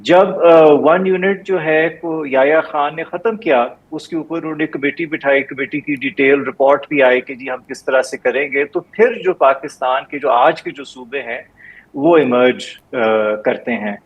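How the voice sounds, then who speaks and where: A man's voice: mid-range voice, unhurried, breathy edge, highs cut off. A man with a Pakistani Urdu accent, a historian guest explaining history steadily in a lecturing way, with "basically" and "uh" fillers. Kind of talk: explanatory studio interview answer.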